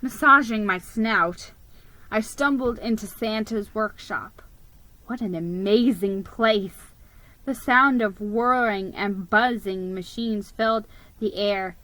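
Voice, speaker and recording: A woman giving a theatrical, expressive reading of a children's Christmas story. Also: mid-range voice, takes it slowly, dry recording, rough recording. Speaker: storybook reader.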